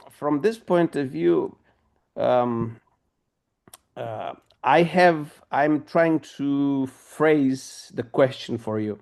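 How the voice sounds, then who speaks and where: A middle-aged pastor with a Romanian accent, asking a long, halting question at a discussion with many pauses and fillers. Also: male voice, a little high, a little mellow.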